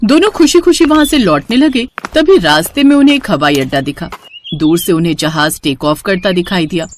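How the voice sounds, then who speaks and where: A woman's voice: fairly low pitch, animated, fairly quick, slight background noise. A woman narrating a scripted moral story as its storyteller.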